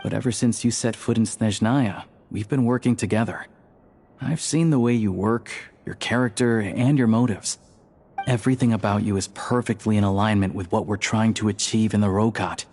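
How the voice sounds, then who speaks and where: An actor playing a calm adult man in a game who recruits someone into a secret group, speaking in a measured, reassuring way. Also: lowish voice. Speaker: game character. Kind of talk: game voice acting.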